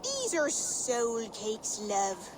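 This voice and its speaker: An actress playing a homely village housewife who gives plain, familiar instructions in a game. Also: female voice, a little high, wildly swooping pitch, very slow, noisy background, heavily acted. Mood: homely, instructing. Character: homely, bossy village housewife giving kitchen chores. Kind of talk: game cutscene acting.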